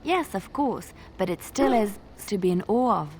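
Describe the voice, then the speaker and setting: A woman's voice: medium pitch, animated, normal speed, a little mellow, slight background noise, close to an everyday voice. An actress playing a humble, devout woman of faith in a video game, earnest, formal and full of awe. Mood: reverent. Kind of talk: video game character acting.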